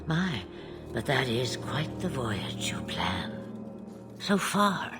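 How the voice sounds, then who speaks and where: A woman playing an ancient, amused, imperious witch in a fantasy game, husky, theatrical and drawling. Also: a bass voice, very animated, slow pace, full character voice. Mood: sardonic curiosity. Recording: loud music under the voice.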